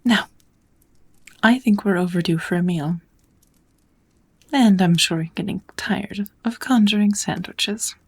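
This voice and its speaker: A woman voicing a kind, knowledgeable witch who gently teaches magic in a measured, whispered ASMR roleplay. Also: mid-range voice. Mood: kind, patient. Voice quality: soft, breathy whispering.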